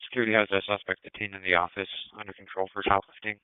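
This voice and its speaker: A man's voice: low voice, little pitch movement, very fast, clean tone, dry recording. A man working as a police dispatcher, reading out incident reports over the radio in a clipped, businesslike way. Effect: police radio transmission. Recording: tinny narrowband police scanner audio.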